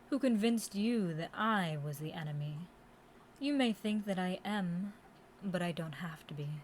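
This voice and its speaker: Woman playing a slow, haughty, seductive demon queen. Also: fairly low pitch, slight background noise. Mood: vengeful, persuasive. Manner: haughty, deliberate, taunting, theatrical villain delivery. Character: commanding, seductive, scheming demon queen reborn to conquer. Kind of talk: scripted roleplay audio drama monologue.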